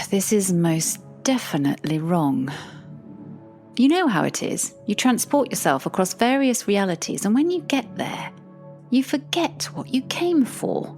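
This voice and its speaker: A woman's voice: mid-range voice, big pitch swings, slow, a little mellow, soft background music. A woman voicing the Devil as an irritated, distracted, alluring woman, musing aloud in a dry, offhand way for an audio drama. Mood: puzzled, irritated. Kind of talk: audio drama character dialogue.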